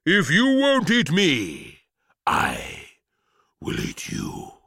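A man voicing a giant, vengeful monster cabbage in a children's story, booming and menacing. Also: huge pitch swings, drawn-out words, vocal fry, sharp tone, slightly rough, no echo, clean studio sound, full character voice.

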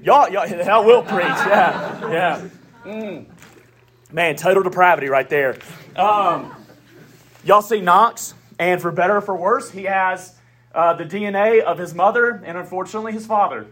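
A man preaching a sermon in a Southern US accent, with emphatic declarations, quiet asides and folksy humor. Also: sits high, unhurried. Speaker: pastor.

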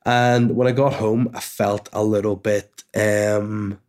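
A man with a somewhat low voice, thick and stuffy from a cold, talks straight to his listeners from home. He rambles through a personal story in a chatty, anecdotal way, with swearing and side remarks. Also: level tone, takes it slowly, a little mellow. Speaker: podcast host and comedian. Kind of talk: casual storytelling monologue.

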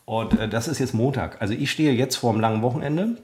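A man with a mid-range voice talks in a loose, rambling way, often pausing on fillers. He pokes fun at himself as he chats on a casual podcast. His tone stays conversational and unhurried. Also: mid-range voice, darkish tone. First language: German. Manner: rambling, self-deprecating, hesitant with many fillers. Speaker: podcast co-host. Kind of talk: casual chat.

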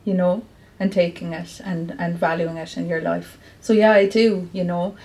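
A woman's voice: on the low side, fairly even intonation, moderate pace, a little mellow. An Irish woman from Clare, a poet, answering earnestly at a live bookshop interview. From County Clare, Ireland. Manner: earnest, reflective, with many fillers. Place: bookshop event.

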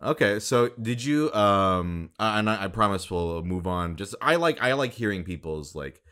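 Very deep-voiced casual male host. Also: animated, moderate pace, fairly bright tone. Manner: laid-back, blunt, swears freely. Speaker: podcast host. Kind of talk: casual interview chat.

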